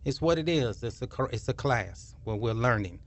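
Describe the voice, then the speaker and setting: A man's voice: mid-range voice, normal speed. A man hosting a community radio talk show, chatting casually with frequent fillers and repeating details. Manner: relaxed, repeats phone numbers, frequent fillers.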